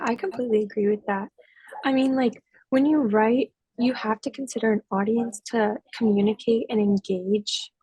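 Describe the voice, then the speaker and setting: A woman's voice: a little high, warm tone, husky, poor audio. An eighteen-year-old woman talking casually in a student podcast discussion, with lots of "like" fillers. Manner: chatty, many "like" fillers.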